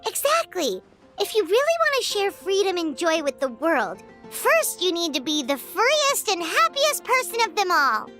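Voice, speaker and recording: A woman's voice: very high voice, huge pitch swings, normal speed, pushed and bright, heavily acted. A woman voicing a tiny, childlike fairy companion in a video game, chirpy, perky and boastful. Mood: encouraging. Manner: chirpy, boastful, refers to herself by name. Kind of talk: video game character acting. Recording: clear music behind the voice.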